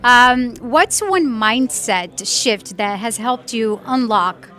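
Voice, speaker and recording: High bright lady interviewer, encouraging and chatty, bit of music behind her. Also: slow. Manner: appreciative, encouraging. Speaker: interviewer, host. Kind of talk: casual event interview.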